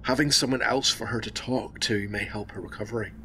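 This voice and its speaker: An actor playing a calm hospital doctor in a radio drama, measured, reassuring and formal. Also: male voice, on the low side, slight background noise. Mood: calm, professional. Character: calm, professional male hospital doctor advising a relative.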